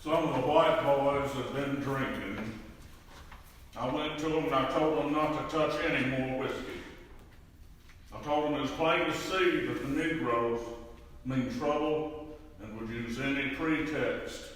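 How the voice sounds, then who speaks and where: A man playing a grave 1870s witness, giving testimony deliberately in a dramatic reading. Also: medium pitch, a bit bright, natural voice. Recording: echoey hall, distant mic.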